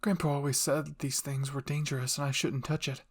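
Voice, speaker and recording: A man voicing the anxious, curious inner thoughts of a young woman in a fan fiction audio drama, softly and wonderingly. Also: mid-range voice, little pitch movement, fairly quick, natural voice.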